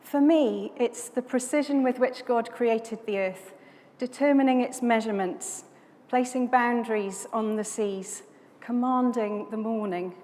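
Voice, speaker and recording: A woman from South Wales giving a sermon as a guest speaker, in a measured, reflective way, phrase by phrase. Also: a little high, a little mellow. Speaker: guest preacher from a conservation charity. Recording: long hall reverb, background noise.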